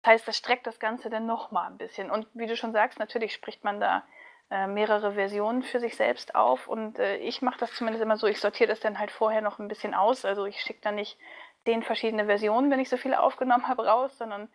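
A woman with a fairly high voice talks casually as an interview guest. She is a voice actress who explains things in a thoughtful, slightly giggly, conversational way. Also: steady tone, fairly quick.

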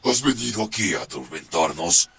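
Menacing demon, low gravelly harsh-bright voice, radio drama. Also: male voice, animated, normal speed, a little hiss or hum, full character voice. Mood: menacing, accusing. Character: hostile demons speaking through possessed violent men. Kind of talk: Spanish radio drama acting.

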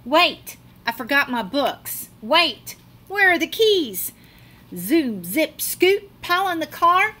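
A middle-aged woman reads a children's storybook aloud in a bright voice with very lively, swooping intonation. She takes her time, stretching words for dramatic effect. A clear layer of room noise sits behind her, as on a webcam microphone in a classroom. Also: high-pitched. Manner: animated, dramatic delivery with drawn-out words. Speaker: teacher reading a picture book aloud. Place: small classroom, indoors.

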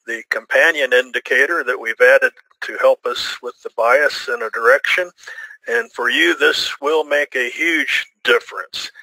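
A man's voice: medium pitch, sharp tone, no room sound, poor audio. Upbeat man hosting a trading webinar. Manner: upbeat, smooth salesy delivery. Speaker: webinar host. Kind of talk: scripted webinar introduction.

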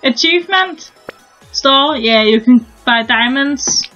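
A young Danish woman with a high voice, talking through a game in a chatty, halting way, often stopping to restart her sentences. Her Danish accent is clear throughout. The sound is a little dull, recorded at home with soft game music underneath. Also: animated. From Denmark. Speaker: gaming channel host. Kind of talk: casual gameplay commentary.

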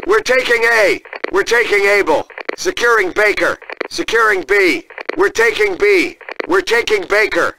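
An actor playing a fierce American Marine sergeant, barking commanding announcements in a military video game. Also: male voice, high-pitched, vocal fry, pushed and bright, slight background noise, heavily acted, low-quality recording. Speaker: multiplayer announcer.

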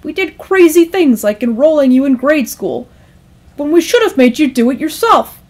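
A woman voicing a stern, patient father who lectures in a measured way, in a storybook tale. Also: sits high, very animated, darkish tone, pitched above the performer's own voice. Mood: stern lecturing. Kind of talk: acted storytelling dialogue.

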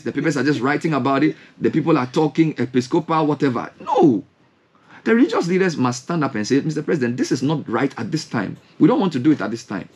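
A Ghanaian man hosting a radio show speaks into a studio mic, his voice a little husky and riding high. His pitch leaps around with real animation as he fires off pointed questions. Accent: Ghanaian English. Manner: animated, rhetorical questions, gesturing hands. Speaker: radio host. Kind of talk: impassioned on-air commentary. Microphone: studio mic with headphones.

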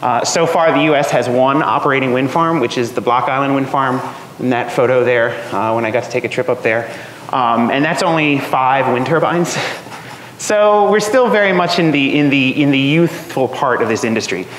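A man in his thirties presents an academic seminar in a relaxed, conversational way, sprinkling his sentences with ums and uhs. His voice sits at an ordinary pitch and rings through a roomy hall with a long reverb. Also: normal speed. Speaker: guest seminar speaker. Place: lecture room. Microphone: room microphone. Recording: echoey hall, faint noise.

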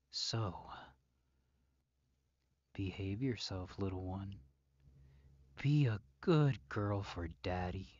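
A man's voice: low-pitched, breathy edge, no echo, highs cut off, full character voice. A man playing an obsessive, possessive psychopath captor in an intimate roleplay audio drama, speaking with mock tenderness and often dropping to whispers.

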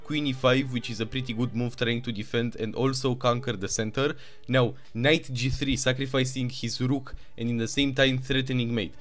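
Romanian-accented man calling chess moves. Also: mid-range voice. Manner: rapid move-by-move calling with excited asides. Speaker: chess video narrator. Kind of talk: chess game commentary.

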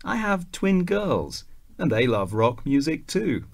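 A man reading scripted self-introductions for a listening exercise in a slow, careful way. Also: a little high, big pitch swings, gravelly. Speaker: recorded listening-exercise speaker. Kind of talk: scripted textbook dialogue.

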